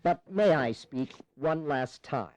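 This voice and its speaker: An actor playing a resigned, dissenting colonial delegate in a historical audio drama, with grave, formal oratory. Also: male voice, on the high side, animated, slow, a little mellow, no echo.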